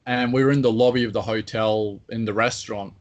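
A man's voice: on the low side, fairly even intonation, brisk, highs cut off. A man with an Australian accent, co-hosting a podcast, telling anecdotes in easygoing casual chat. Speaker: podcast co-host.

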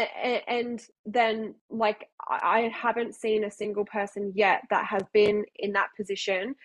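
A woman's voice: medium pitch, dry recording. A woman hosting a podcast interview, speaking earnestly as a health professional and repeating words for emphasis. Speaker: podcast host and health practitioner.

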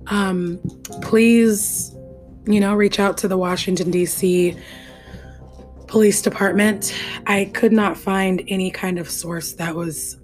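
A woman's voice: mid-range voice, steady tone, takes it slowly, a little mellow, soft background music. A woman co-hosting a casual true-crime podcast, talking earnestly and chattily and laughing easily. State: hoarse, losing her voice. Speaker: podcast co-host.

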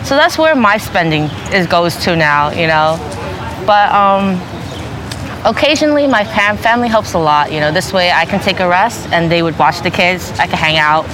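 A woman's voice: lowish voice, lively intonation, normal speed. Young woman talking over street traffic. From New York. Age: 20s. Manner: chatty, fillers of "you know". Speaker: interviewee, family store worker. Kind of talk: casual interview.

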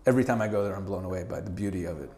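A man's voice: low-pitched, racing. Chatty middle-aged man from Canada. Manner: animated, frequent restarts and stammered repeats, laughs easily. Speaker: interview guest. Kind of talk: casual conversational interview.

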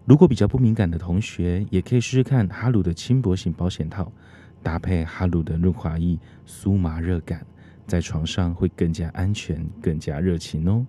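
Deep-voiced man hosting a podcast. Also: fairly even intonation, moderate pace, warm tone, husky. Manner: plays a teacher addressing listeners as students. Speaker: podcast host. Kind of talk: casual interview chat with scripted sponsor reads.

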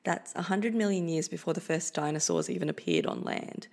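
A young woman hosting her own podcast in a relaxed, chatty manner, now and then breaking into a laugh. Her voice is a little low and has a gravelly edge. She speaks Filipino as her first language. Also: fairly quick. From Philippines. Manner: chatty, informal, light laughter. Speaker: podcast host. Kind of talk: solo podcast monologue. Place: Australia.

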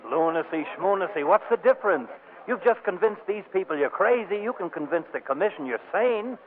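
An actor playing a wry, exasperated male lawyer with a dry, brisk manner in 1940s radio comedy. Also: high-pitched, lively intonation. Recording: muffled old radio broadcast.

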